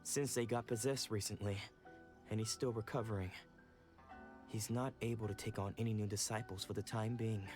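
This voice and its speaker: An actor playing a proud, defensive and troubled samurai in a video game, speaking formally and earnestly. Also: male voice, on the low side, steady tone, normal speed, darkish tone, faint music behind, lightly acted. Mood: regretful. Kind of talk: video game character dialogue.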